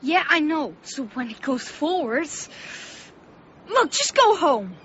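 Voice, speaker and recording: A reckless, defiant boy in a radio play. The voice is very high and swings in lively fashion. It comes through a dulled broadcast with faint noise. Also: slow pace, dull audio, full character voice. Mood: defiant, angry. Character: Jim, reckless defiant boy eager to grow up. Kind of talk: radio drama acting.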